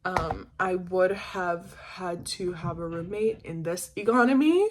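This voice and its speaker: A woman's voice: on the low side, unhurried, background noise. A twenty-year-old woman from the Caribbean talking casually and candidly in a personal vlog, rambling and stressing her points. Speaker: vlogger.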